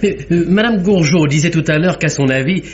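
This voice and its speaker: An actor playing a polite, flustered gentleman witness in a 1950s French radio mystery, hesitant and repeating himself. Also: fairly high pitch, brisk, natural voice. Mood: earnest, speculating. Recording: dull, noisy vintage radio recording.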